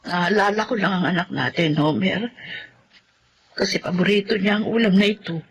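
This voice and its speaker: A woman's voice: mid-range voice, normal speed, slight background noise, lightly acted. Radio drama role: woman playing grieving, resigned mother in middle age, medium register. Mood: sad, resigned. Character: sorrowful middle-aged mother, longing for estranged son. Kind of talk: Filipino radio drama acting.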